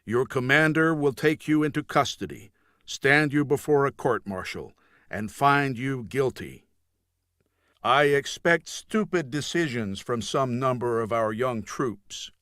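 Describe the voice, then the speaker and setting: A man's voice: medium pitch, measured pace, a bit bright, no room sound, studio quality, natural voice. A man voicing a scornful, stern junior military officer in an audiobook, lecturing formally and with contempt. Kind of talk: audiobook character dialogue.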